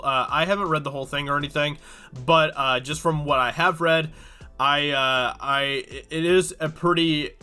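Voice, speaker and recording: A man's voice: fairly high pitch, pushed and bright. A man with a cold and a congested voice, talking casually and rambling with many fillers as he reads through and comments on a news article. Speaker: YouTube host.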